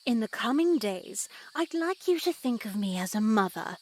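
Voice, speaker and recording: A woman playing a pious, motherly yet unsettling nun in a horror game, speaking in a genteel, measured, preachy way. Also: a little high, very animated, slight background noise. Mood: reverent, motherly. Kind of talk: scripted visual novel voice acting.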